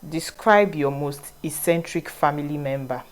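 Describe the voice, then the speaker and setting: A young Nigerian woman talks casually to her vlog audience, with a low, deep voice for a woman. She reads each question aloud and then answers in a relaxed, wandering way. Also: moderate pace, a little hiss or hum. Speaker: vlogger.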